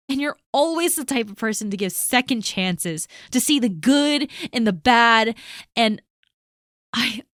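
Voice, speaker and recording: A woman voicing a caring, protective best friend in an audio roleplay, talking earnestly to the listener and often dropping to a soft hush. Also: fairly high pitch, no room sound, studio quality.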